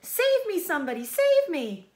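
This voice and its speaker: Woman voicing a high, panicky young boy pig. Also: sing-song, normal speed. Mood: panicked. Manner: wailing, screaming pleas. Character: panicky young male pig, childish, dramatic, pleading.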